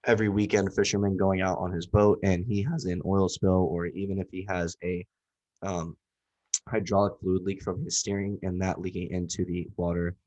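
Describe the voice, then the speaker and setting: A man's voice: deep voice, level tone, normal speed, darkish tone, lo-fi sound. A young man with a cold, giving a casual, rambling class presentation with lots of fillers. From Southwest Florida. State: nasal from a cold. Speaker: student presenter.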